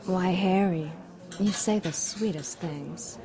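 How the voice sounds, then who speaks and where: A woman's voice: fairly low pitch, slow, dark tone. A woman voicing a seductive, imperious demon queen in an audio drama, coy, mocking and theatrical. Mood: teasing, coy. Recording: crowd chatter behind the voice. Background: crowd chatter.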